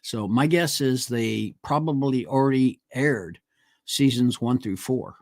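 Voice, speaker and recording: A man's voice: mid-range voice, a little slow, dry recording, studio quality. A man co-hosting a casual talk show, speaking hesitantly with many fillers as he reaches for names. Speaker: co-host. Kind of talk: casual chat. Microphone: studio mic on a live stream.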